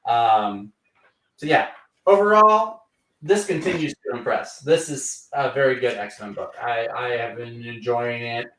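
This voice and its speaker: A man's voice: mid-range voice, a little slow, a bit bright. Louisiana guy rambling thru a comic recap, lots of um and you know, kinda far from the mic in an echoey room. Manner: rambling, filler-heavy recap with playful quoted voices. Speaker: comic book show host. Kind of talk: casual livestream review chat. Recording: roomy sound from away from the mic.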